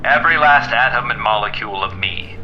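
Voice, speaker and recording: An actor playing a mysterious, taunting invisible avenger in old-time radio style, through a filtered effect. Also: male voice, mid-range voice, brisk, dull audio, slight background noise. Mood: taunting, ominous.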